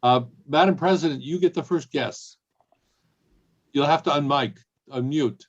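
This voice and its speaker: A man's voice: a little high, a little slow. Playful man hosting over a dull call. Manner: hesitant, with frequent ums and a playful tone. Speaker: rabbi leading a service. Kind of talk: casual service hosting. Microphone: video call.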